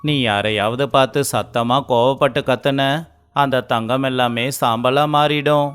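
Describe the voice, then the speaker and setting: Clear-voiced crafty village husband played by a man. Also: medium pitch, steady tone, a little slow, fairly bright tone, close to an everyday voice. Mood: persuasive. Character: clever, lazy, scheming village husband. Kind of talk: acted moral story for children. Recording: faint music underneath.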